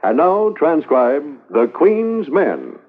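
A man's voice: a little high, huge pitch swings, slow pace, heavy background noise. A man announcing a 1950s radio drama in a dramatic, declaiming manner. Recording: tinny old radio with music.

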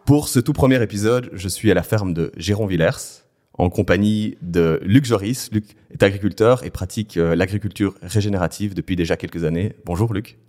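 Low-voiced male podcast host. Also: moderate pace, a little mellow. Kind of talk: interview hosting.

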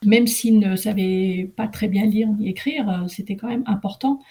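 A woman interview guest from northern France, speaking conversationally and haltingly, often repeating words as she goes. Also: mid-range voice, warm tone, breathy edge. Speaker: podcast guest, recruitment executive.

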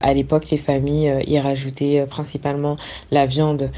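A woman sharing a family recipe story in a hesitant way, with many filler pauses. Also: deep voice, completely flat delivery, mellow, muffled recording.

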